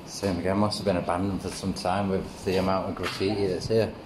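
A man exploring an abandoned building, muttering quick asides to camera in a casual vlog. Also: low voice, flat delivery. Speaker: urban explorer vlogger. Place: abandoned brewery building, indoors and rooftop. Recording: noisy handheld camera audio.

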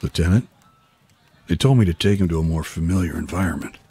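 A man acting a weary, earnest guardian in a video game cutscene, explaining things in a measured way. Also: mellow, soft background music. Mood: calm, concerned. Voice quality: husky, low. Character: weary, earnest man looking after an orphaned boy.

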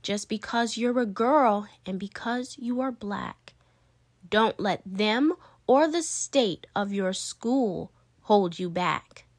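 A woman's voice: medium pitch, slow pace. A woman reading the part of a firm, encouraging mother in a storybook, earnest and emphatic. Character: firm, encouraging mother advising her daughter.

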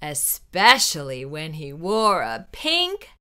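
A middle-aged woman hosting children's storytime, reading a picture book aloud in a theatrical, deliberate, emphatic way. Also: low voice, huge pitch swings, bright, forceful tone, clean tone. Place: small indoor room with bookshelves.

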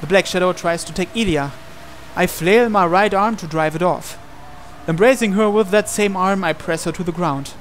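A man's voice: high-pitched, normal speed, slight background noise. A man reading a visual novel aloud as a game player, in dramatic storytelling narration.

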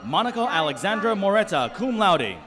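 A man's voice: sits high, big pitch swings, brisk, crowd noise. A man announcing graduates' names one after another at an outdoor commencement. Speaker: commencement name reader. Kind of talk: reading graduates' names at a ceremony.

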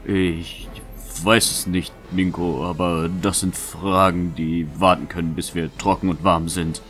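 A man's voice: sits low, level tone, measured pace, a bit bright, soft background music. A man playing a weary, caring noble knight in a fantasy audio drama, speaking earnestly and with authority. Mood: weary, resolute. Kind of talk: fan-made fantasy audio drama acting.

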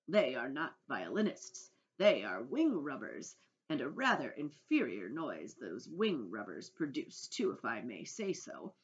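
A woman's voice: a bass voice, big pitch swings, unhurried, exaggerated voice. A woman voicing a kindly, proud old male grasshopper musician in a storybook, genteel and theatrical. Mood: haughty. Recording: dull lo-fi recording.

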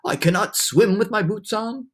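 A performer voicing an excitable, blustery male centipede, full of exclamations, in a children's storybook. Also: mid-range voice, moderate pace, voice pushed up, close to an everyday voice. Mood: panicked. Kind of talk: children's storybook read-aloud.

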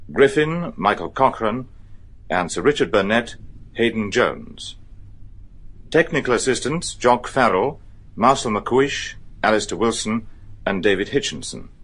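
A formal male radio announcer reads a script in a measured way. His voice is lowish and husky, with lively intonation.

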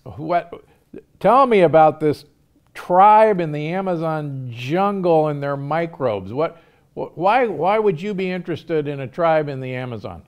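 A man's voice: fairly high pitch, animated, a little slow. An older man hosting an interview podcast from a studio set, asking questions and reacting with enthusiastic, reflective asides. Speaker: podcast host, doctor. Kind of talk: conversational interview. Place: studio set with lamp and shelves.

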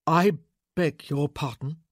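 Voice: mid-range voice, slow, dry recording, clean studio sound. A storybook narrator voicing a grieving young nobleman who answers sharply and bluntly. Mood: curt. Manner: sharp, clipped, growling when angry.

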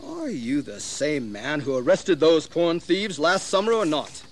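Animated, scheming colonial frontiersman. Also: a little high, a little slow, slight background noise. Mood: goading. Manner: insinuating, persuasive, theatrical. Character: shrewd, scheming colonial frontiersman urging rebellion. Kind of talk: dramatized history audio acting.

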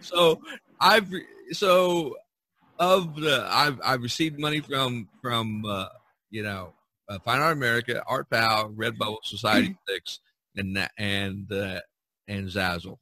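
Bright-voiced man chatting as podcast host. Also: mid-range voice, a little slow, no echo. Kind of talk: casual podcast chat.

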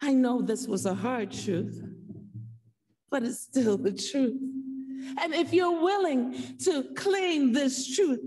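A woman preacher delivering an earnest sermon, exhorting her listeners with repeated phrases and emphatic pauses. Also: high-pitched, a little mellow. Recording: echoey hall, distant from the mic.

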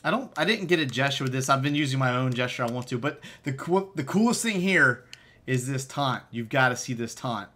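A man's voice: medium pitch, expressive, fairly quick, sharp tone. An American man in his thirties giving casual, upbeat, slangy commentary for a gaming video.

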